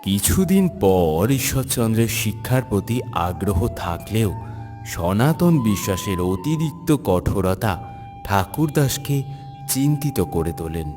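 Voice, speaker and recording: A man's voice: lowish voice, very animated, unhurried, a little mellow. A man giving scripted storybook narration for a cartoon biography. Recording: long hall reverb, music underneath.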